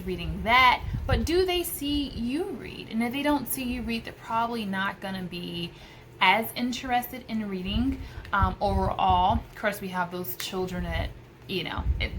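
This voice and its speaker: A woman in her thirties giving casual, emphatic homeschooling advice to camera in a room at home. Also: fairly high pitch. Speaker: homeschool vlogger. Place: small room at home by a window. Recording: clearly noisy room recording.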